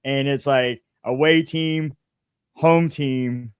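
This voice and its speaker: A male interviewer with a clean, smooth voice at a middle pitch. He hosts a relaxed sports chat in a folksy, enthusiastic way. The sound is dry and close, free of room echo. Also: slow. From small town in the United States. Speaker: interviewer, show host.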